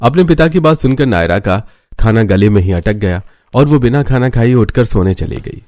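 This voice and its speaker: A man's voice: medium pitch, animated, normal speed, darkish tone, slight background noise. A man reading scripted narration as the narrator of a story.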